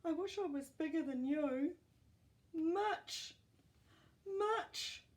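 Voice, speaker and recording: Woman putting on a dramatic high-pitched young boy snail. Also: very slow, exaggerated voice. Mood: panicked wailing. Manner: whiny, exclamatory, stretches out words. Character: discontented young male snail, dramatic, yearning for fame.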